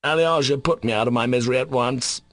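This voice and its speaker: A man's voice: mid-range voice, moderate pace, a bit bright, no room sound, pitched above the performer's own voice. A man reading aloud as an anguished, passionate young Russian man who speaks in overwrought outbursts. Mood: desperate.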